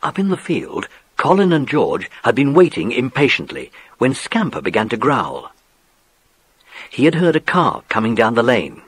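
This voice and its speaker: A man narrating a children's adventure story as scripted narration, with a husky voice. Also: medium pitch, wildly swooping pitch, moderate pace, fairly bright tone, dry recording.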